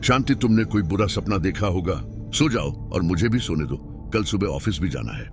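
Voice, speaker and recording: A man voicing a deep-voiced doubting husband. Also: lively intonation, moderate pace. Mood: dismissive. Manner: dismissive, exasperated. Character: skeptical young husband Rahul. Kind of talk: cartoon voice acting. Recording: loud background music.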